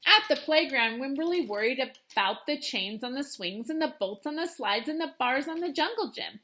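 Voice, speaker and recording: A woman teacher reading a children's storybook aloud with expressive, emphatic storytelling. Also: high-pitched, moderate pace.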